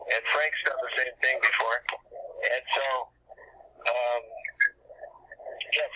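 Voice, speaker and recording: A man's voice: mid-range voice, sharp tone, low-quality recording. A man informally presenting and taking questions as host of a business conference call, speaking plainly and often rephrasing himself, recorded over the telephone. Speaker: business owner hosting a team conference call.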